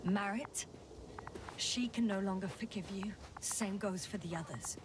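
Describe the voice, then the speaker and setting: A woman's voice: mid-range voice, unhurried, distant mic, background noise, lightly acted. An actress playing a precise, strained woman scientist on a planetary expedition in a dramatic video game. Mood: bitter, determined.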